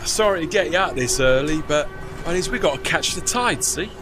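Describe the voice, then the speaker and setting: Man playing a folksy, lively Cockney river tugman. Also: on the high side, brisk, over music, lightly acted. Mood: cheerful, deferential. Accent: London Cockney. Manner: folksy, ungrammatical, rambling. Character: chatty, deferential working-class river tugman, proud of his trade. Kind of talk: period audio drama acting.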